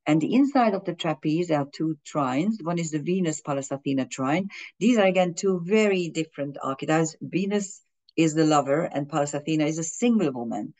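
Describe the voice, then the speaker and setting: A woman's voice: low voice, expressive, brisk, darkish tone. Fumbling woman astrologer. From Hungary. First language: Hungarian and German. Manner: fumbling, with frequent restarts and fillers. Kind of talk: explaining an astrology chart in a video.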